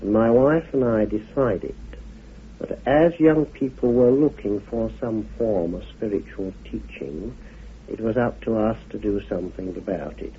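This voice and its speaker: A middle-aged man, giving a measured, formal explanatory talk in deliberate phrases. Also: lowish voice, slight background noise. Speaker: occult teacher, order founder. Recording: muffled phone-like old radio recording.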